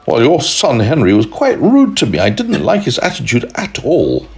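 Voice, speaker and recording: A man's voice: medium pitch, wildly swooping pitch, moderate pace, voice pushed up. A man voicing a polite, easily offended little green alien in a children's story. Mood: prim.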